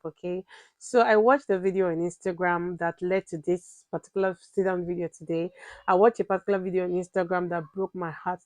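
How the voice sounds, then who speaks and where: A woman's voice: lowish voice, a little mellow, no echo. Chatty Nigerian woman vlogger, dry close sound. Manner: chatty, repeats herself, tags questions with "right?". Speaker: vlogger and mother. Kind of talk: casual sit-down vlog talk.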